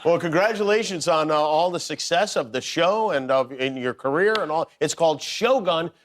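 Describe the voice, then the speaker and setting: A man's voice: on the high side, expressive, moderate pace, crowd noise. A man hosting a casual talk show interview, joking playfully and laughing often with his guest.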